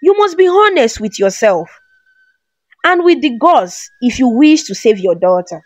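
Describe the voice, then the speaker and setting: A woman's voice: fairly high pitch, big pitch swings, a little slow, no room sound. A woman reading as a solemn chief priest. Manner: solemn, grave pronouncements.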